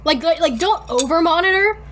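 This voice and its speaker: A woman's voice: extremely high pitch, expressive, brisk, a bit bright. A woman co-hosting a podcast, chatting casually and reading fan fiction aloud in an irreverent, slangy way. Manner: irreverent, slangy, swears freely. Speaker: podcast co-host.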